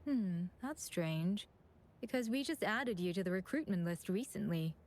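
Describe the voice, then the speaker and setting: An actress playing a composed, courteous chief administrator in a video game. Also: female voice, medium pitch, lively intonation, normal speed, a little mellow, lightly acted. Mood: composed, analytical.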